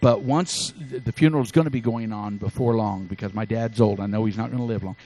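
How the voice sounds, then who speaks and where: A male preacher voicing Esau, a big, tough grown hunter, in a pleading, strained, high-pitched voice. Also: a little fast, warm tone, lightly acted. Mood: resentful.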